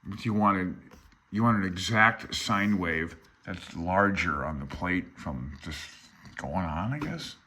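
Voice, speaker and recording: A man's voice: deep voice, lively intonation, slow pace, sharp tone, husky. An older man giving an informal tutorial, reading from a handbook and adding hesitant, self-deprecating asides as an amateur hobbyist. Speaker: amateur radio hobbyist presenter.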